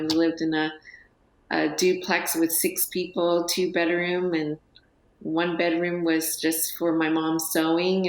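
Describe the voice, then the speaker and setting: A woman in her forties, a guest on a TV talk show, speaking softly and hesitantly with many pauses and fillers. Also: sits low, echoey room, rough recording. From United States. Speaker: guest, business owner. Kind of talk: TV interview. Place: TV studio.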